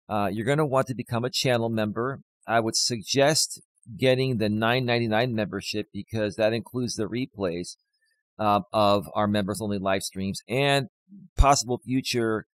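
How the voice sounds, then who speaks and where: Hoarse man hosting a live stream. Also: fairly low pitch, poor audio. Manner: rambling, many fillers, talks straight to viewers. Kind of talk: casual live-stream talk.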